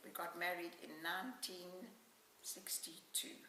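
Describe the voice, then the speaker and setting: Woman in her seventies, South African accent, bright edge to the voice, unhurried life-story telling, echoey room with faint hiss. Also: fairly low pitch, steady tone, recorded from a distance. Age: 70s. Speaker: oral history interviewee. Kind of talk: reminiscing life story in an interview.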